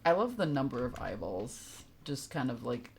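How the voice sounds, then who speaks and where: A woman's voice: very deep voice, sing-song, moderate pace, gravelly, slight background noise. A woman giving casual live commentary as a game streamer, chatty and wry with a sarcastic streak.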